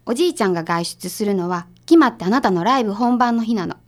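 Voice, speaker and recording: A woman playing a brisk, sharp detective's assistant in a radio drama, speaking casually and decisively with a Kansai accent. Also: mid-range voice, lively intonation, close to an everyday voice. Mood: calm, explaining.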